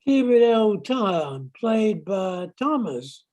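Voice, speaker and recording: An older woman playing a rough-talking male petty criminal in an amateur radio play. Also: mid-range voice, big pitch swings, takes it slowly, darkish tone. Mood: tough introduction. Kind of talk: amateur radio drama reading.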